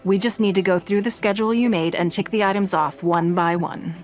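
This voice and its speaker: A woman's voice: medium pitch, fairly even intonation, brisk, natural voice. Composed, elegant businesswoman voiced by an actress, music behind. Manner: composed, articulate, formal. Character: poised, shrewd, wealthy businesswoman, composed and elegant. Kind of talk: video game voice acting.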